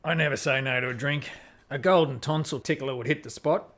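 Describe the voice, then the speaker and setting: Man as easygoing spaceship rogue, hard-drinking, blunt, laid-back, mid-pitched, amateur radio drama. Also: fairly quick, a bit bright, close to an everyday voice. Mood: casual, curious. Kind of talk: amateur audio drama acting.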